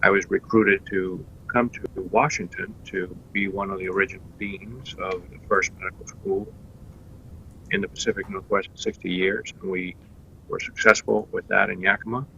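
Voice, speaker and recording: A middle-aged man with a Cuban accent answering interview questions in a measured way, with frequent fillers and restarts. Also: low voice, steady tone, gravelly, bright, forceful tone, slight background noise. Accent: Cuban Spanish. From Cuba. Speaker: candidate guest. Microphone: video call. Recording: dull lo-fi remote call.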